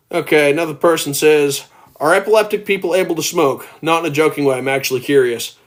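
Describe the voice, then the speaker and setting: An earnest young man in his early twenties hosts a casual livestream from home and speaks into his phone. He rambles a little and reads viewer comments aloud, and his voice turns gravelly from time to time. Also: on the high side. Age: early 20s. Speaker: livestream host.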